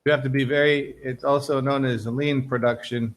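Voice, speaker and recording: An American man tutoring English over a video call, with a relaxed, friendly manner. His voice sits at an ordinary middle pitch, and he rephrases his points in simpler words. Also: moderate pace. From United States. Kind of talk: casual online tutoring chat.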